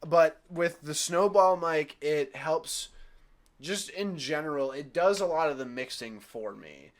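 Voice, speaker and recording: A man's voice: fairly high pitch, unhurried. A young man co-hosting a casual podcast, talking in a loose, slangy, profane way into a desk microphone at home. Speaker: podcast co-host. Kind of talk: casual chat. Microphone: desk USB microphone.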